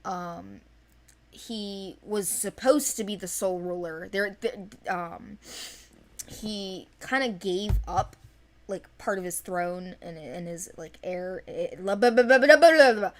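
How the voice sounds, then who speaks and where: Woman, mid-pitched voice, casual chat, informal, rambling, enthusiastic. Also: slow pace. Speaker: animation project team member. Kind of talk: casual chat discussing characters.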